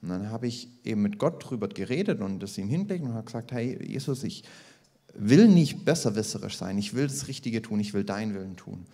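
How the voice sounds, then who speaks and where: A man's voice: lowish voice, expressive, fairly quick, warm tone. A man sharing a personal faith testimony at a church service, speaking reflectively and searching for words. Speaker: church member giving testimony.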